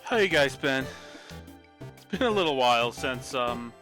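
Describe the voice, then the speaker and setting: A man's voice: medium pitch, expressive, normal speed. A young man giving loose, rambling commentary while he plays, swearing casually, on a desk mic at home. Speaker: streamer. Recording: game music loud behind voice.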